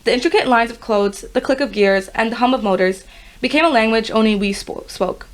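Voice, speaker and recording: A young woman from Guyana, a podcast guest, reading her college admissions essay aloud in an earnest, steady way. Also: fairly high pitch, brisk. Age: young adult.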